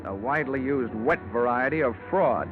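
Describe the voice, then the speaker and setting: An actor playing a wry, hard-boiled insurance investigator, deadpan and wisecracking, in an old-time radio detective drama. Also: male voice, medium pitch, normal speed, music in the background, close to an everyday voice. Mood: wry, narrating. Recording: tinny vintage radio recording.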